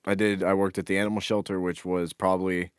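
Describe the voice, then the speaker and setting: A man's voice: deep voice, level tone, quick pace, smooth, no echo, clean studio sound. A man co-hosting a podcast in casual, informal chat, with some offhand swearing. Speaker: podcast co-host.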